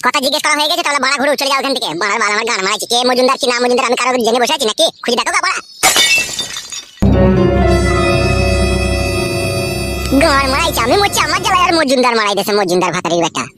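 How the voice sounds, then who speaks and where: A woman's voice: high voice, lively intonation, very fast, few pauses. A woman voicing a crude, whiny, hapless young village man in a comic cartoon, grumbling and complaining. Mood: grumpy, rude. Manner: grumbling, foul-mouthed, whining complaints. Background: music.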